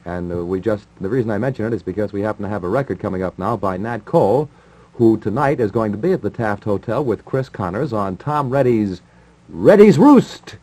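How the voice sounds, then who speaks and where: A man's voice: fairly low pitch, lively intonation, brisk, warm tone, low-quality recording. A man chatting casually as a 1950s radio disc jockey, with a rambling, wry manner.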